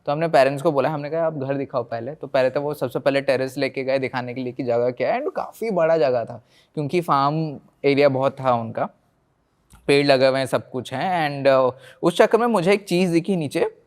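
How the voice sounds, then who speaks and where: A man's voice: medium pitch, brisk. An Indian man, a paranormal investigator, telling stories conversationally as a podcast guest, mixing Hindi and English and acting out reported dialogue.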